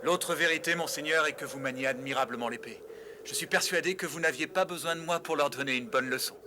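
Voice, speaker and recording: A man voicing a brave, earnest young knightly hero in a French animated adventure dub, speaking formally and resolutely. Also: a little high, fast talker, a little hiss or hum. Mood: respectful, keen.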